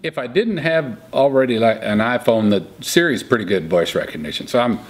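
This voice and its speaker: Middle-aged male farmer and insurance agent, folksy and musing, recorded close in a quiet room. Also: medium pitch, animated, a little fast. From Missouri. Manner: thinking aloud, folksy. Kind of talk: casual interview answers. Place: indoor room with curtains.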